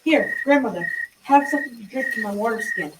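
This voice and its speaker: A woman's voice: on the high side, expressive, a little fast, close to an everyday voice. A young female amateur playing a kind, earnest youngest brother in a radio drama. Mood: kindly. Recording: distant mic, noisy background.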